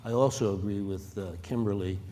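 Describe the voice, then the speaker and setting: A man with a very deep voice speaks as a venture investor on a conference panel. He explains his points steadily. A long hall reverb and faint background hiss surround him. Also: brisk, warm tone.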